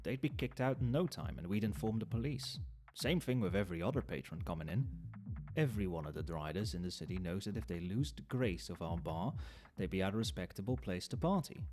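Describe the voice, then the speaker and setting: Man playing nightclub barman, male, lowish pitch, animated intonation, chatty, wry, knowing, conversational ASMR roleplay. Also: fairly quick. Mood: casual, cautioning. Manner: conversational, explanatory, with hesitations and understatement. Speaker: voice actor in an audio roleplay. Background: soft club music and nightclub ambience.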